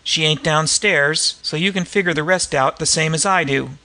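A man's voice: fairly high pitch, normal speed, sharp tone. A man voicing a curt, menacing police detective who snarls his threats. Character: menacing hard-bitten male police detective.

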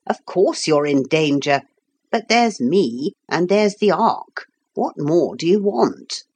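A woman voicing a pompous, fussy old man who keeps an ark, speaking in a slow, formal, lecturing manner. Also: low-pitched, sing-song, a little mellow, slightly rough, full character voice. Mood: brusque. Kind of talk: audiobook character voice.

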